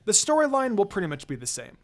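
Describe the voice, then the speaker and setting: A man's voice: sits high, wildly swooping pitch, quick pace. A man reading fan fiction aloud as dramatic first-person story narration. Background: background music.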